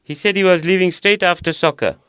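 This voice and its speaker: Calm dad in radio drama, smooth slightly high voice, reassuring, no echo. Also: male voice, brisk, clean studio sound. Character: calm, reassuring father of a student. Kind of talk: audio drama acting.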